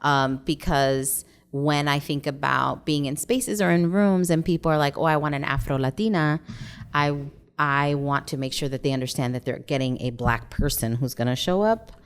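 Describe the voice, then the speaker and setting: A woman from Chicago, a theater director, telling her story as a guest in a relaxed interview, acting out quoted voices. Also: low voice, moderate pace, clear, resonant tone. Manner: animated storyteller, acts out quotes. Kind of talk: casual interview chat.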